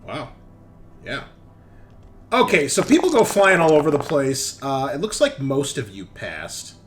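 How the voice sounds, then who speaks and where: A man's voice: medium pitch, huge pitch swings, measured pace. A man working as game master, narrating a tabletop roleplaying game in a casual, improvised way. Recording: clearly noisy background.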